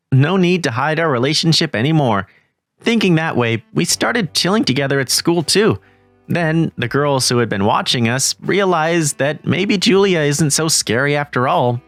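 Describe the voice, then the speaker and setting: An earnest, eager teen schoolboy, voiced by a man narrates a manga story. His pitch swings widely in a dry, close recording. Soft music runs under him. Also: no echo. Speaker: narrator and lead. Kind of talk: manga voice-over acting.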